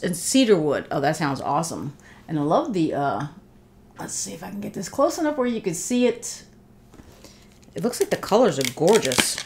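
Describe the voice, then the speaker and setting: A woman's voice: medium pitch, a little hiss or hum. A middle-aged woman chatting casually while unboxing a gift, a bit congested from a cold, with lots of fillers and heartfelt thanks, recorded seated in her living room. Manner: chatty, warm thanks, many fillers and restarts. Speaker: vlogger.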